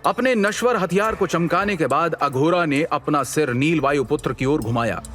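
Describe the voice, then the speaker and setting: A man narrating a scripted fantasy audio drama in an expressive, suspenseful storytelling manner. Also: fairly high pitch, moderate pace, soft background music.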